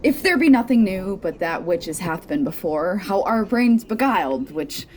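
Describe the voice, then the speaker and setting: A woman's voice: mid-range voice, big pitch swings, normal speed, a little mellow, slight background noise. A young woman performing a Shakespeare sonnet as a dramatic monologue. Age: young adult.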